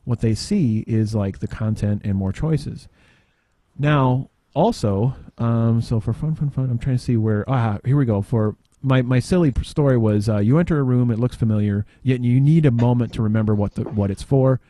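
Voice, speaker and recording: A man giving an informal software demo, halting and tangential as he thinks aloud in casual talk. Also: mid-range voice, no room sound. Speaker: podcast panelist demonstrating software.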